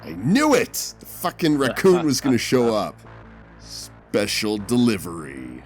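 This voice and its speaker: A man's voice: mid-range voice, very animated, unhurried, soft background music. A man playing a tabletop game, bantering casually in a sweary, exclamatory way.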